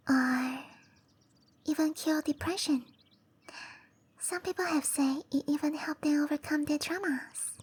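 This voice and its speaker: A woman voicing a gentle, shy cherry blossom dryad in whispery roleplay ASMR, with soft breathy speech and a tender, hesitant manner. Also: sits high. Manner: slow, tender, hesitant delivery.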